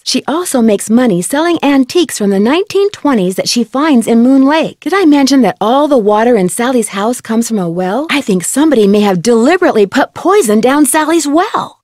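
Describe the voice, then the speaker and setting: Casting: actress as friendly young female detective for an adventure game; higher-pitched, polite, inquisitive, conversational, dry close sound. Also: darkish tone, no room sound, natural voice. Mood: confiding, conversational. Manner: polite, inquisitive, clearly spoken. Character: friendly, curious young woman amateur sleuth. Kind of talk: video game dialogue, conversational.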